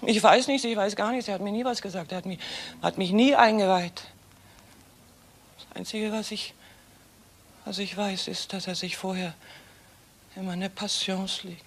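An actress playing an embittered, defiant wife in a German crime radio play, agitated and repeating herself emphatically. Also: female voice, mid-range voice, fairly even intonation, normal speed, pauses often, lightly acted. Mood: defiant denial. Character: defiant, embittered wife of a burglar, denying everything.

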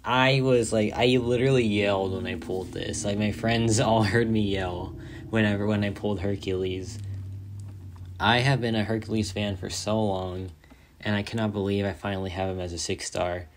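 A young man with a slightly low voice gone raspy and hoarse from hours of talking. He chats casually and excitedly about his game rewards, rambling with lots of filler words. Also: moderate pace, a little hiss or hum. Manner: excited, rambling, says "like" often. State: hoarse, tired from a long day of talking. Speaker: mobile game content creator. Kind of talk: casual gameplay commentary.

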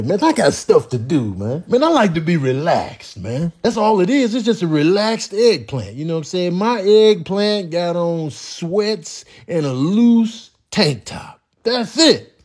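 Man acting a deep, weary, grumpy middle-aged man. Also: a little mellow, full character voice. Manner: weathered, drawling, grumbling. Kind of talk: comedic monologue voiceover.

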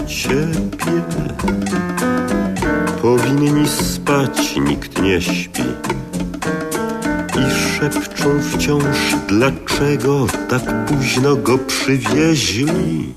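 A woman performing a poetic, theatrical song-like recitation in a measured, dramatic way. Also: very deep voice, lively intonation. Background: acoustic guitar music.

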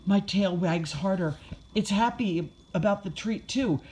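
A woman voicing an excitable, food-crazed young puppy who gushes childlike exclamations as he tells his own storybook tale. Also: mid-range voice, a little slow, slightly rough, poor audio. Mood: excited, gleeful. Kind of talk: first-person children's storybook narration.

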